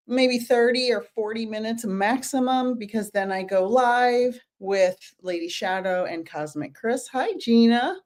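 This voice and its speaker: A middle-aged woman hosting a casual live-stream book club, her voice hoarse from teaching, greeting viewers by name as she talks. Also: fairly high pitch, a little mellow.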